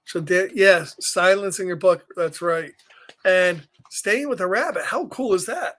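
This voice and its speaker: A man hosting a story time, reading a picture book aloud gently with chatty asides and questions, sometimes dropping almost to a whisper. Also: high-pitched, a little slow. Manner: gentle, conversational, often asks questions and drops into whispers. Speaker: story time reader and host.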